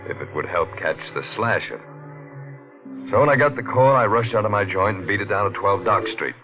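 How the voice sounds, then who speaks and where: An actor playing a tough, plain-spoken police detective in a 1940s radio drama. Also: male voice, fairly low pitch, close to an everyday voice. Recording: muffled old radio with music behind.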